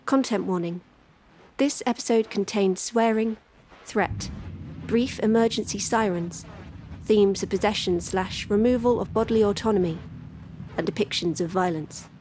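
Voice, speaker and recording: A woman announcer reading a scripted content warning in a measured, formal manner. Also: medium pitch, darkish tone, a little hiss or hum.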